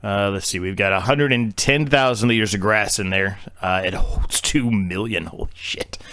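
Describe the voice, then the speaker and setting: A man's voice: fairly low pitch, moderate pace, sharp tone, clean tone, no room sound. A man losing his voice, giving casual, rambling commentary on a farming game with lots of fillers and restarts. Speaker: game streamer.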